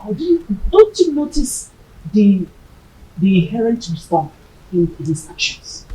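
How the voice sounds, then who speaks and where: A calm, wise royal mother in a film drama, her speech lively in pitch. She pleads earnestly, heard from some distance in an echoey room. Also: a little slow. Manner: earnest, persuasive.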